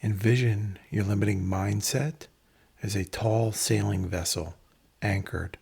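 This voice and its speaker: A man leading a guided meditation in a measured, instructional manner, pausing between phrases, with a breathy, husky voice. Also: lowish voice, steady tone, a little mellow.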